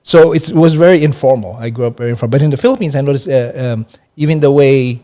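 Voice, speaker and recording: A man's voice: medium pitch, fast talker, warm tone. Middle-aged man, hesitant and chatty. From Hawaii. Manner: hesitant, many fillers and restarts. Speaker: interview guest, accounting professor. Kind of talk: relaxed interview chat.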